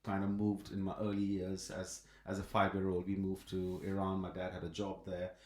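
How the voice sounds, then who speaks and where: A man's voice: low-pitched, completely flat delivery, normal speed, echoey room. A man from Pakistan, a podcast guest telling personal stories conversationally in a front room. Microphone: improvised iPhone setup.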